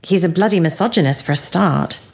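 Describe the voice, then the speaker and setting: An actress playing a worried, protective wife in a naturalistic audio drama. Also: female voice, fairly low pitch, brisk, creaky, a little mellow, slightly rough, no echo. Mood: weary. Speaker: actor.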